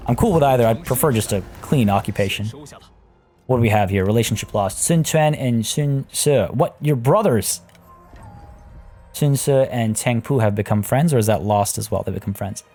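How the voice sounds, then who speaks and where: A man casually talking through a strategy game as a let's play host. He is slightly hoarse from losing his voice and thinks aloud with fillers. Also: mid-range voice, moderate pace, darkish tone. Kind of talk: casual gameplay commentary. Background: faint game music.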